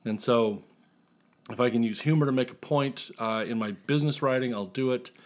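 A man with an average-pitched voice talks as a guest author in a relaxed interview. His manner is joking and self-deprecating, in an informal conversational style. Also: fairly quick. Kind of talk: casual interview chat.

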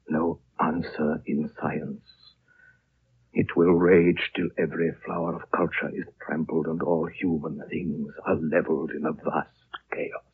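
An actor playing an ancient, dying High Lama in a 1930s radio drama, gentle and prophetic, speaking solemnly in a frail, husky, hushed voice. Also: male voice, exaggerated voice. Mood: prophetic, fading. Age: older. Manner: slow, solemn, hushed, with long pauses. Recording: muffled, band-limited 1930s radio recording.

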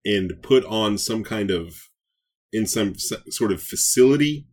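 Male podcast co-host, slightly low husky voice, unhurried, playful casual banter. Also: expressive, a little mellow. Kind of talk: casual pop culture banter.

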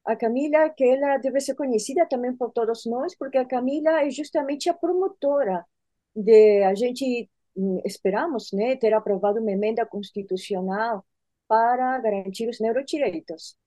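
A woman from Peru with a lasting Peruvian accent, speaking as a law professor in a polite, measured academic conversation with small fillers. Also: a little high, warm tone, no room sound.

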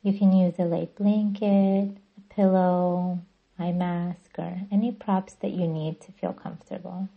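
Female meditation guide, breathy with a creaky edge, even and soothing, slightly muffled recording. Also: lowish voice. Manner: soothing, gentle, deliberate. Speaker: yoga nidra guide and podcast host.

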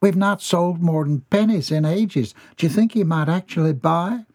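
A man putting on a fussy Southern farm wife, voice pushed well up into a high shrill register, fretting and scolding in quick bursts, storybook reading. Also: a little mellow.

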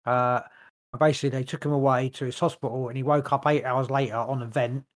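A man's voice: mid-range voice, fairly even intonation, fast talker. A man co-hosting a podcast, rambling through a story in loose casual chat, swearing now and then. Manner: rambling, lots of fillers and restarts, swears. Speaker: podcast co-host.

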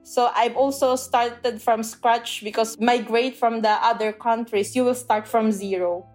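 A woman's voice: a little high, steady tone, a little mellow, soft background music. Filipino-accented woman, earnest and explanatory. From Philippines. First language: Tagalog. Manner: earnest, explanatory, frequent "uh" pauses. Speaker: language teacher, guest. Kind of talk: casual interview talk.

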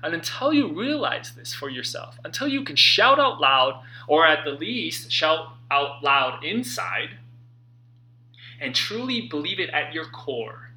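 A man narrating his own self-help book in an expressive, earnest and emphatic way, with dramatic phrasing and pauses. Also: on the high side, poor audio. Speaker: author reading own book.

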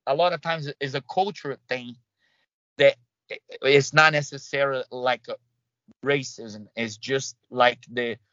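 A man from Brazil with a Brazilian Portuguese accent, a guest in an interview, talking in halting bursts with many restarts and fillers. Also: medium pitch, steady tone, pushed and bright, dry recording, muffled recording. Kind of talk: conversational interview.

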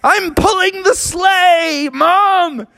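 A man's voice: very high-pitched, very slow, creaky, pitched above the performer's own voice, exaggerated voice. A man voicing a plucky, cheerful young boy frog in a children's story, earnest and eager. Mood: excited. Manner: earnest, calling out brightly.

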